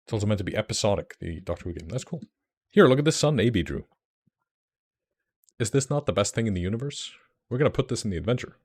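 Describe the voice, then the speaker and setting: A man's voice: lowish voice, expressive, fast talker, pauses often, a little mellow, slightly rough. A young Icelandic man chatting casually on a livestream, rambling and enthusiastic, with many fillers and restarts. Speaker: streamer.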